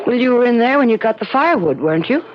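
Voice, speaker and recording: Anxious, halting radio-drama wife. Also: female voice, a little high, very animated. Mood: anxious, annoyed. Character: frightened, insistent wife in a remote cottage. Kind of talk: 1970s radio drama acting. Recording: noisy, muffled old radio broadcast recording.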